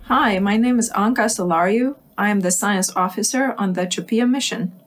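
A woman's voice: medium pitch, normal speed. A woman speaking as a science officer in a recorded crew interview. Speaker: science officer, analog mission crew member.

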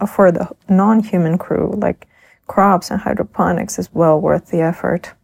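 A woman's voice: lowish voice, gravelly, a little mellow. A middle-aged woman, a crew member in a simulated Mars habitat, reflecting thoughtfully and earnestly in an audio log.

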